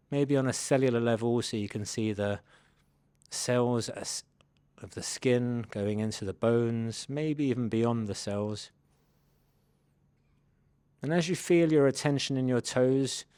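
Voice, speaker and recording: A man with a medium-pitched voice guides a meditation through the body. He is gentle and takes his time, phrasing each step as an easy invitation. The sound is dry, with little room around the voice. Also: no room sound. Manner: gentle, unhurried instructing in soft invitations.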